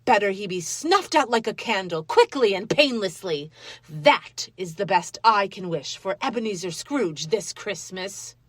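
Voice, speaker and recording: A woman acts an indignant wife in a radio play. She is plain-spoken and bitterly emphatic, with a mid-pitched voice recorded very dry. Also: expressive, a little slow, no room sound. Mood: bitter, scornful. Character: indignant, plain-spoken wife who despises a stingy tyrant.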